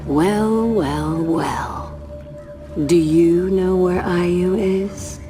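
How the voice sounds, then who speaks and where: An actress playing a tough, taunting female warrior, deliberate and mocking, in a dramatic video game scene. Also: fairly low pitch. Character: tough, taunting female warrior hunting through a war zone. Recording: music behind the voice. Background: music.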